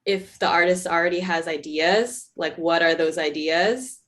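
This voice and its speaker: A woman's voice: on the low side, a little slow, fairly bright tone. A woman moderating a panel Q&A, calling on people by name and answering questions herself. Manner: friendly, calls on people by name. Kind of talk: hosting a panel Q&A.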